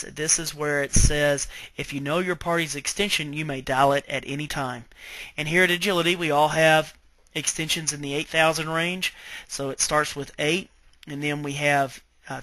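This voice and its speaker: Male tech trainer, slightly high bright voice, dry close sound, informal step-by-step screen walkthrough. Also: no room sound. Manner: step-by-step explaining, checking in with okay. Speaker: IT trainer presenting software tutorial.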